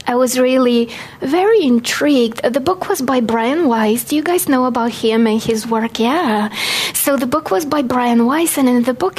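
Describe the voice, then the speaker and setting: A woman with a Bulgarian accent giving a personal storytelling talk to an audience, speaking in an earnest, expressive way with emphasis on key words. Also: high voice, breathy edge. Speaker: guest speaker, past life regression practitioner.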